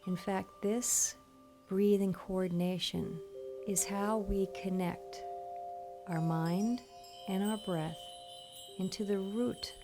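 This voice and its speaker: A woman leading a guided meditation in a soothing, gentle, instructional manner. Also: mid-range voice, steady tone, slow pace, long pauses. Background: soft meditation music with chimes.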